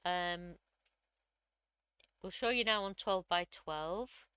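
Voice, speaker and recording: A woman, slightly croaky from a cold, chattily walking through a crafting software tutorial step by step. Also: lowish voice, slow, no echo, clean studio sound. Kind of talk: screen-recorded software tutorial.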